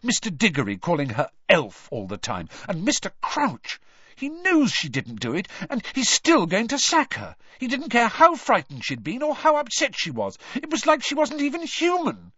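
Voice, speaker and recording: Storybook teenage girl, performed by a man: passionate, outspoken, heated, emphatic, lifted lively pitch. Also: brisk, hardly any pauses, breathy edge, no echo, dull audio, exaggerated voice. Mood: furious indignation.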